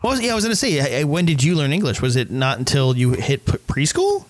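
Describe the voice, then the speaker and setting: A man's voice: fairly high pitch, wildly swooping pitch, fast talker, no room sound. A man hosting a casual chat, mostly giving short encouraging replies and asking questions. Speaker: host.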